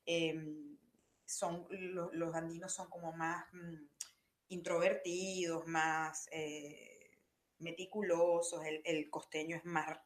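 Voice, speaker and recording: A young adult Venezuelan woman talks as a podcast guest in a relaxed conversational way. She thinks aloud, pausing on fillers and circling back to rephrase, with a Venezuelan Spanish lilt. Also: sits low. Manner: thoughtful, hesitant, with many fillers and restarts. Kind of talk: conversational interview.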